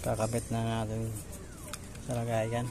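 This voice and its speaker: A very noisy amateur recording with birds behind it. A Filipino woman with a notably low voice talks casually to her viewers in the manner of an unboxing vlog. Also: completely flat delivery, unhurried. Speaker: vlogger unboxing a parcel.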